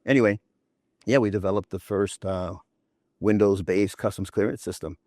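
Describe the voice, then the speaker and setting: A man in his fifties from New York City, a logistics executive telling relaxed anecdotes in an interview, peppered with "you know" and "right?". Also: fairly low pitch, moderate pace, no echo, clean studio sound. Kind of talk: relaxed storytelling interview talk.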